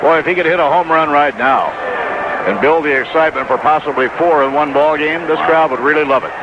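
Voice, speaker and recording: A man's voice: on the high side, fairly quick. An American man giving conversational color commentary on a live radio baseball broadcast. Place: ballpark broadcast booth. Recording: muffled, very noisy 1980s radio broadcast.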